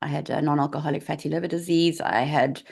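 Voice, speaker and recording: A woman's voice: low-pitched, fairly even intonation, fast, creaky, a little mellow, husky. A woman in her forties with a South African accent, a guest telling her story candidly in an interview, with many fillers. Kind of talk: interview over video call.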